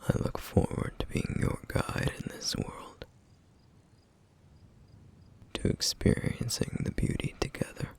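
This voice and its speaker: A man playing a gentle, duty-bound flame demon in an ASMR roleplay, speaking softly in slow, formal, wistful phrases with a rough, breathy voice. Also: natural voice. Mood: gentle, earnest. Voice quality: breathy, whispery, rough, gravelly. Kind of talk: ASMR roleplay, intimate soft-spoken monologue.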